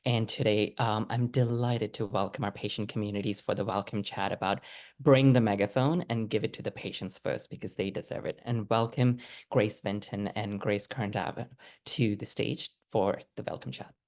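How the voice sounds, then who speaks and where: Male event host, lower register, handling thanks and introductions between conference sessions. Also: steady tone, moderate pace, darkish tone.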